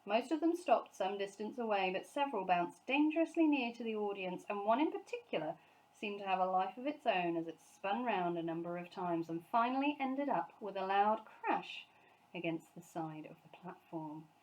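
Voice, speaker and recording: A woman reading a children's story aloud as scripted, expressive narration. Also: mid-range voice, moderate pace, low-quality recording. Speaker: story reader, teacher.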